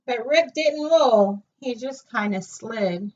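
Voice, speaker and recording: A woman reading a children's picture book aloud with an expressive, singsong delivery, in a dull, distant recording. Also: fairly high pitch, slow pace. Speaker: storytime reader.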